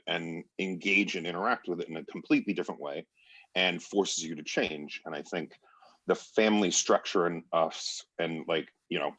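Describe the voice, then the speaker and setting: A man with a very low, husky voice talks off the cuff in a panel discussion. He circles his point and searches for words. The sound is dry, with no room on it. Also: a bit bright, dry recording. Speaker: actor in a stage play.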